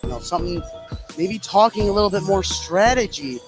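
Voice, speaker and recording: A man's voice: sits high, a little fast, over music. A man doing live play-by-play commentary of a basketball game on the radio.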